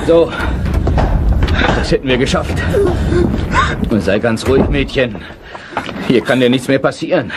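A man acting a rough but kindly, slightly awkward wartime soldier in a radio drama, speaking in blunt colloquial style. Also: medium pitch, expressive, unhurried, pauses often, close to an everyday voice. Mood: gruff, reassuring. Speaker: actor in a radio crime drama. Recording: very noisy old radio drama scene.

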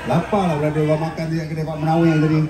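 A rocker trades laughing, self-mocking banter from an outdoor stage, his fairly high voice carried through a PA. The sound booms with heavy echo and is picked up from a distance. A crowd murmurs throughout. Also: completely flat delivery, racing, very noisy. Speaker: rock band member. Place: outdoor mall courtyard stage. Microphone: stage microphone through PA. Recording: echoey live PA heard from afar.

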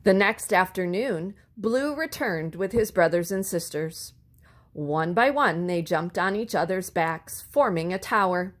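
A woman reading a children's story aloud expressively, with deliberate phrasing and words drawn out for effect. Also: fairly low pitch, expressive. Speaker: storybook reader.

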